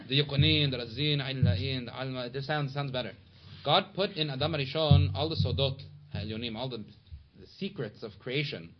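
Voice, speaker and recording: A man teaching a casual Torah class to a small study group, chatty and quick, reading Hebrew and Aramaic passages aloud. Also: mid-range voice. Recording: dull lo-fi recording with steady background noise.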